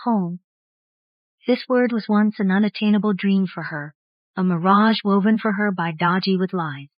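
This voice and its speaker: A woman's voice: mid-range voice, normal speed, no echo, lo-fi sound. A woman narrating a scripted story in the style of an audiobook. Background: faint music.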